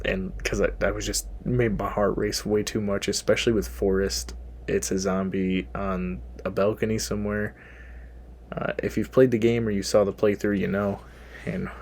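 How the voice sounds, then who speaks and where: A man's voice: fairly low pitch, slightly rough. Sick, tired man talking about games. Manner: rambling, self-deprecating, frequent fillers. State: sick and sniffly. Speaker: gaming channel host. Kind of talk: casual gaming list commentary.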